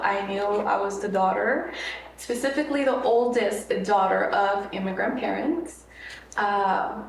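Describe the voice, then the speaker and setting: A young woman from Mexico whose first language is Spanish talks as a podcast guest in a loose, rambling way full of fillers. Her voice sits back from the microphone in an echoey room. A faint hiss runs underneath. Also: mid-range voice. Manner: chatty, rambling with many fillers and restarts. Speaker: podcast guest, content creator. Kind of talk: casual interview conversation. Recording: echoey room, mic at a distance, faint noise.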